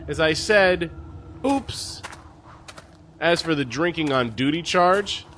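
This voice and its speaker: Casting: male actor as seasoned space captain, stern and sardonic, forceful bright voice, faint noise behind, sci-fi audio drama. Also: a little high, lively intonation, takes it slowly. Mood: smug mockery. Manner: mocking, folksy, commanding.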